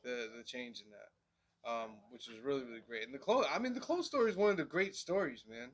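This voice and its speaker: A man co-hosting a casual review chat, with a stuffy, nasal voice from allergies and a relaxed, chatty manner full of "um"s. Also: medium pitch, brisk. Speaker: podcast co-host.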